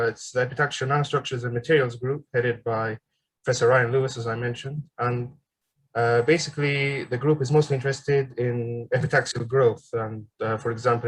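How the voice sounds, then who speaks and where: A man in his twenties from Egypt giving an online seminar presentation as a graduate student, pausing often with ums and uhs. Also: mid-range voice, poor audio. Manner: hesitant, frequent ums and uhs. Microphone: video call.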